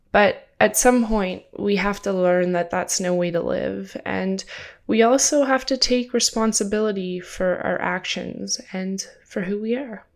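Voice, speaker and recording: A young woman with a stuffed-up, congested voice, hosting her own podcast in a chatty, upbeat way and laughing now and then. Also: mid-range voice. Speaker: podcast host. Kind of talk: casual solo podcast talk.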